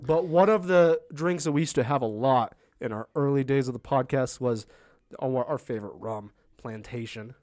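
A man in his early twenties co-hosts a drinking podcast, trailing off and restarting as he chats. His voice is mid-pitched and dry, recorded close with no room sound. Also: moderate pace, darkish tone, dry recording. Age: 20s. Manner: rambling, many fillers and restarts. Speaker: podcast co-host. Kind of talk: casual podcast chat over drinks.

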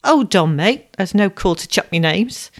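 A woman voicing a brutish, surly working-class broker's man in a story, curt, rough and snarling. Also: medium pitch, lively intonation, unhurried. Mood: indignant.

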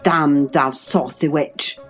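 A woman's voice: deep voice, steady tone, takes it slowly, faint music behind. A woman reading a surly young farm lad. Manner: growling, blunt.

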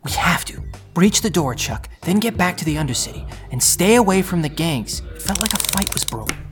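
Determined young thief narrating over music. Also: male voice, sits high, lively intonation, fairly quick. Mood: urgent, insistent. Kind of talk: audio drama narration and dialogue. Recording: music under the voice.

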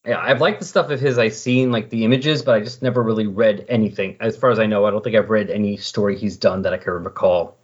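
Husky man chatting on a podcast. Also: lowish voice, fairly even intonation, fast, few pauses. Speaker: podcast host. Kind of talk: casual comic book discussion. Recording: dull lo-fi voice recording.

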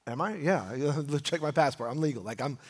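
A male American pastor with a slightly high voice preaches in a reverberant hall. His sermon is informal and conversational, built on rhetorical questions and phrases he repeats for emphasis. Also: expressive, moderate pace, breathy edge. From United States. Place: large reverberant room, preaching live.

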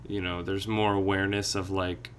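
A man's voice: low voice, flat delivery, normal speed, slight background noise. A man chatting casually on a podcast, reflective and meandering as he thinks aloud.

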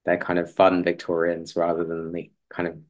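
A man's voice: low voice, completely flat delivery, moderate pace. A man chatting casually as an interview guest, in an informal, tentative, loosely phrased way. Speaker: podcast guest, playwright. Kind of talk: casual chat.

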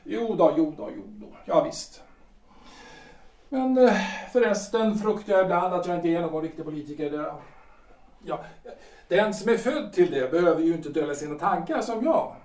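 Gustav, a man playing a halting politician. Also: sits high, expressive, darkish tone, far from the mic. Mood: uneasy. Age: 40s. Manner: hesitant, halting, full of fillers. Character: hesitant 43-year-old lawyer and politician, Gustav. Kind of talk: audio drama acting. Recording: echoey room, voice off-mic.

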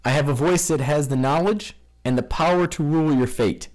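A man's voice: fairly high pitch, brisk. A man hosting a casual trivia game among friends, reading out questions and laughing often. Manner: animated, laughs often, reads questions aloud. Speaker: quiz host.

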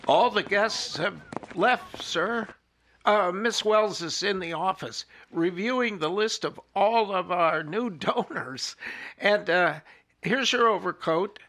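An actor playing an eager, deferential, slightly hesitant museum helper in an audio drama. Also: male voice, sits high, slow, a bit bright, full character voice. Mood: eager, polite.

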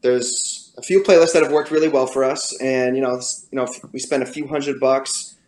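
A man with a raspy voice, a guest musician talking casually in an interview, with many fillers and restarts. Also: mid-range voice, steady tone, moderate pace, a little mellow, echoey room.

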